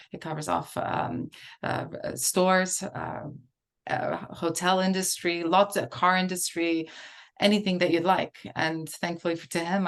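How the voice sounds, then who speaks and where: A woman's voice: low-pitched, vocal fry, a bit bright. A woman in her forties, from Portugal, answering interview questions in a hesitant way, with many fillers and restarts. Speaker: interview guest. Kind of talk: casual interview conversation.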